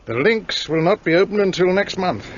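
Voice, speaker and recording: An actor playing a polite French police commissary who explains the evidence. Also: male voice, high voice, animated, normal speed, highs cut off, slight background noise. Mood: explaining. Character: Monsieur Bex, helpful French police commissary. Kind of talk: radio drama acting.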